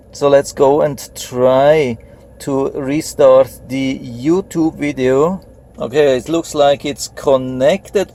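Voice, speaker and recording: A man gives a casual, hands-on product review in a mid-pitched voice. His delivery is fairly even and unadorned as he explains each step plainly. Some background noise sits behind his voice.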